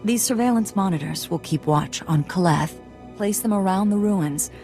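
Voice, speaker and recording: Woman as creaky-voiced, grave Jedi master. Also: mid-range voice, warm tone, soft background music, natural voice. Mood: grave, composed. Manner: measured, formal. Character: composed, grave Jedi master advising young apprentices. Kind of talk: video game voice acting.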